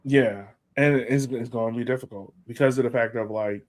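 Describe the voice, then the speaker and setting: A man chats as a sports podcast co-host. His voice sits at a medium pitch, and he talks casually and colloquially, repeating phrases to drive a point home. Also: steady tone, normal speed. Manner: colloquial, emphatic repetition.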